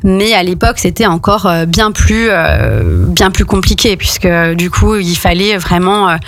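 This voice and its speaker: A woman's voice: on the low side, expressive, normal speed, dry recording. Hesitant young woman telling her story. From France. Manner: hesitant, many fillers and restarts. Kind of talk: casual spoken storytelling.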